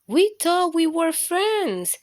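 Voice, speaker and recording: A woman plays a gleeful little storybook germ, pitched high and full of itself. It brags and schemes with theatrical flair. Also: sing-song, slow, darkish tone, full character voice. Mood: betrayed. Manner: theatrical, bragging. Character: boastful, gleeful little cartoon germs, scheming and dramatic. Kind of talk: picture-book character voice.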